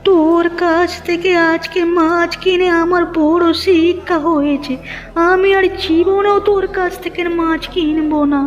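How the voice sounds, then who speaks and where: A woman's voice: very high-pitched, fairly even intonation, measured pace. A woman voicing an indignant, complaining old village woman in a cartoon. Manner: scolding, complaining. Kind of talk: cartoon dialogue acting. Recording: muffled narrow-band audio with music.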